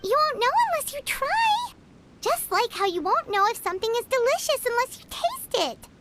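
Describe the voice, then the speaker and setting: A woman's voice: very high voice, sing-song, moderate pace, very noisy, full character voice. A woman voicing a small, cheerful, pushy fairy-like companion girl in a video game, with a perky manner. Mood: encouraging.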